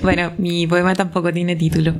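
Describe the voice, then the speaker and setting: A woman's voice: fairly low pitch, little pitch movement, a little mellow. A woman from southern Chile with a Chilean accent, speaking thoughtfully and reading her own poem aloud in a writing workshop. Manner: thoughtful, unhurried, with pauses. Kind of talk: reflective talk and poetry reading.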